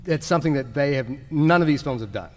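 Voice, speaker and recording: A man's voice: on the high side, fast talker. A man co-hosting a live podcast panel, agreeable and conversational, recorded in a convention hall. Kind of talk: casual live panel discussion. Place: large indoor convention panel room with live audience.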